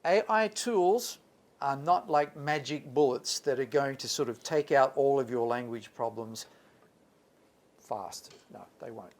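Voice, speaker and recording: A man's voice: medium pitch, unhurried. A male lecturer giving a live workshop talk to students, emphatic and repeating points for stress. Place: lecture room.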